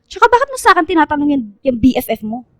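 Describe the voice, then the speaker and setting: An actress playing a feisty, sharp-tongued young provincial woman in a radio drama, sarcastic and indignant. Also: high-pitched, big pitch swings, racing, dry recording, clean studio sound.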